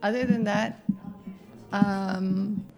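A woman's voice: mid-range voice, steady tone, extremely slow, darkish tone, faint music behind. A woman from the United States, chatting as a podcast guest, laughing often and wandering into asides. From United States (Southern Oregon). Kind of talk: casual chat.

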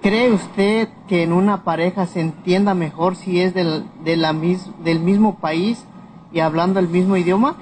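An interviewer reading out survey questions in a somewhat halting way. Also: medium pitch, steady tone, a little mellow. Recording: slightly noisy on-location interview recording.

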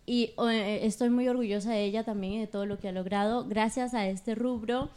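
A woman's voice: a little high, steady tone, fairly quick, warm tone, clean tone. A Peruvian woman in her thirties with a Peruvian Spanish accent, a manicurist guest talking earnestly and gratefully on a radio chat show. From Peru. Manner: earnest, grateful, laughs easily.

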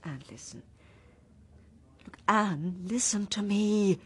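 A woman's voice: medium pitch, slow, breathy edge. A woman playing a reluctant journalist in a radio drama, speaking conversationally and hesitantly with a British accent. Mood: steadying, concerned. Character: chatty female journalist turned reluctant bearer of grim news.

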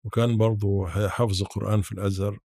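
Interview guest: Egyptian male, seventies, lowish creaky voice, reminiscing haltingly with restarts. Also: level tone, a little mellow, no room sound, studio quality. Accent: rural-born Egyptian Arabic. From Sharqia, Egypt. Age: 70s. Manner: halting, with repeated false starts. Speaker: podcast guest, academic.

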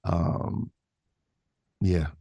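A man's voice: extremely slow, dark tone. A man chatting casually as a podcast guest, informal and slangy, rambling through his answers. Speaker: podcast guest, voice actor and artist. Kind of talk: casual interview chat.

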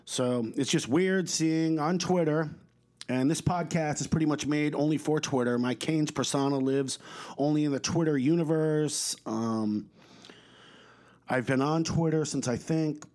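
A man's voice: a little high, unhurried, darkish tone. A man hosting his own podcast, talking in a blunt, profane, rambling way, his voice a little stuffed up and slightly coughy. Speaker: podcast host. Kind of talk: casual solo podcast rant.

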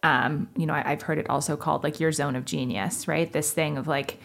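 A woman with a fairly low voice and pronounced vocal fry chats as a podcast interviewer. Her style is casual and affirming, with "like" scattered through her sentences. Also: fairly even intonation, a little fast, a bit bright. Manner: chatty, affirming, heavy use of "like".

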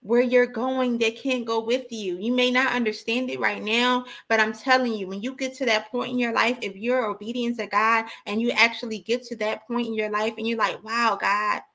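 A woman's voice: a little high, steady tone, normal speed, hardly any pauses, a bit bright. A woman giving an impassioned, informal sermon as a preacher, exhorting emphatically and repeating key phrases.

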